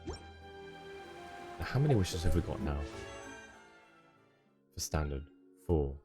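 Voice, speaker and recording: A man's voice: a bass voice, big pitch swings, slow pace, over music. A man live-streaming a video game, chatting casually with viewers as he plays. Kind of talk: casual chat while playing a game for viewers.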